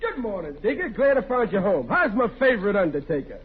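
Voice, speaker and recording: Stubborn blustery family man, high-pitched, stammering, emphatic, old radio comedy, muffled sound. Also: sing-song, fast talker, husky, faint music behind, heavily acted. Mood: sheepishly eager. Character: blustering, gullible, stubborn working-class husband and father. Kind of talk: 1940s radio comedy acting. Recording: muffled old-time radio recording, faint hiss.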